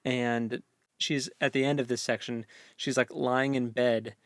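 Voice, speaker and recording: A man's voice: mid-range voice, steady tone, unhurried, no echo, studio quality. A man co-hosting a book discussion in a casual, meandering way, repeating words as he goes. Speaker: co-host of a book discussion show.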